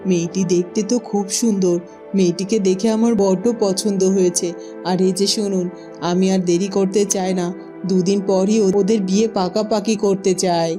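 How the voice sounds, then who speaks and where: A woman's voice: mid-range voice, steady tone, moderate pace, mellow, close to an everyday voice. A woman voicing a bossy, fussy middle-aged village mother-in-law in a cartoon, with music behind her. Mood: pleased. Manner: scolding, exclamatory, fussing. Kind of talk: Bengali cartoon dubbing.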